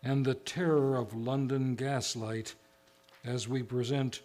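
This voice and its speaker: A man's voice: mid-range voice, fairly even intonation, slow, darkish tone, lightly acted. A man playing a fussy, pompous old-time radio show host with a theatrical manner. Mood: grand, showy. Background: music behind the voice.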